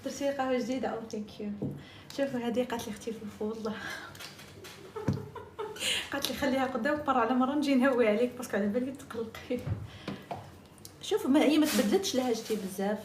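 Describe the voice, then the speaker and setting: Algerian woman chatting fast on a live stream, echoey room, noisy background. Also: a little high. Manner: chatty, jumps between topics, mixes in French and English. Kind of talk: casual live-stream chat.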